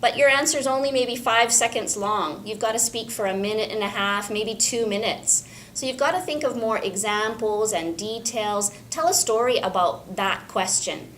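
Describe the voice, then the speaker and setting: A woman English instructor clearly explaining a practical tip on speaking tests. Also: fairly high pitch, normal speed, a bit bright, slight background noise. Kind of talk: teaching tip for a school video.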